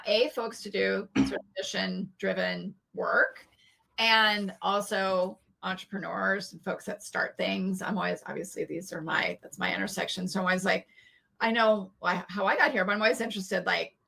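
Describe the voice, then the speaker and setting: Bright, gravelly-voiced woman host. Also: mid-range voice, fairly even intonation, normal speed. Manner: friendly, chatty, many fillers and restarts. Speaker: podcast host. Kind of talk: casual interview chat.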